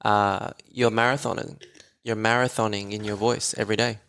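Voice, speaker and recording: A man chats eagerly as a podcast guest, laughing often as he explains his work. His voice sits low and carries a gravelly, fry-filled edge. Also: level tone, normal speed, a bit bright, slight background noise. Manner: enthusiastic, chatty, laughs often. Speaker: guest, voice therapist. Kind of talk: casual podcast conversation.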